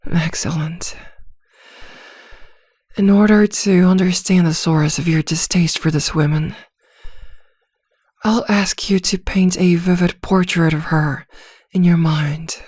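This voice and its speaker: A woman playing a vampire posing as a therapist in an ASMR roleplay, speaking softly and soothingly with quiet command, often near a whisper, close to the mic. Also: fairly low pitch, fairly even intonation, slow, pauses often, dry recording. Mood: soothing, coaxing. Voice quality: soft, breathy, often slipping into whisper. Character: female vampire posing as a calm, teasing, controlling therapist. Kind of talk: ASMR roleplay, slow guided hypnosis. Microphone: close microphone, dry.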